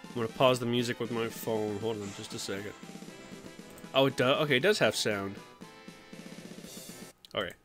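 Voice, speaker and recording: A man streaming casually, chatting with viewers and jumping into high silly voices. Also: steady tone, moderate pace, pauses often, fairly bright tone, soft background music. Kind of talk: casual live-stream chat.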